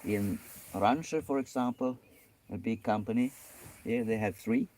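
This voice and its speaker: A man joins a casual outdoor conversation and adds short, matter-of-fact remarks. His voice sits at an ordinary middle pitch. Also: lively intonation, measured pace, darkish tone. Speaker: vlogger's partner. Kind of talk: casual chat.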